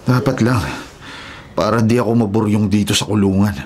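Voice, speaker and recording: An actor playing a brazen, vengeful illegal logger, blustering and quick-tempered, in a radio drama. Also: male voice, on the low side, fairly even intonation, a little mellow, slight background noise, close to an everyday voice. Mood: sullen, resentful. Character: brazen, vengeful male illegal logger, hot-headed villain. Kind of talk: Tagalog radio drama acting. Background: dramatic background music.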